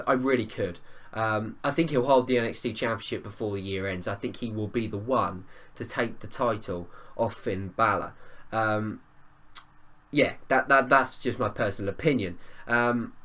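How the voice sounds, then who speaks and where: A man talks through a wrestling event in a casual review video, his voice hoarse and worn from a cold. His pitch sits in an ordinary middle range. He rambles, doubles back and offers strong opinions with eager enthusiasm. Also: fairly bright tone. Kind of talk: casual spoken review vlog.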